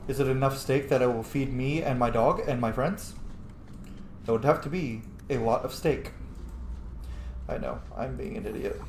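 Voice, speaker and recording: A woman's voice: extremely low pitch, normal speed, long pauses, darkish tone, a little hiss or hum. A woman gaming host giving casual, chatty, jokey commentary on a playthrough. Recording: echoey room with faint music. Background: faint game music.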